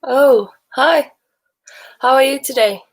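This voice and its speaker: A woman's voice: high-pitched, takes it slowly. A young woman from Sweden with a mixed Swedish-English accent, chatting casually with viewers on a livestream while sick, rambling and laughing often. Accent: Swedish with mixed Texan, Australian and British bits. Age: young adult. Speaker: livestream host.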